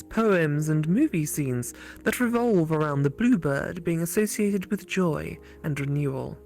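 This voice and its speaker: A woman's voice: low-pitched, darkish tone. A woman voicing an earnest, curious female AI companion in a visual novel, with a quizzical, wondering manner.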